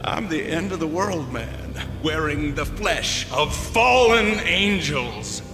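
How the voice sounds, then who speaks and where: Crazed occult gangster villain: high, croaky, theatrical ranting in a cavernous reverb. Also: male voice, sing-song, takes it slowly, exaggerated voice. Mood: menacing muttering. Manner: theatrical, ranting, swinging from mutter to shout. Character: raving demon-worshipping mad mobster, self-styled beast. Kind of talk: dramatic video game villain acting. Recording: cavernous long reverb, music behind.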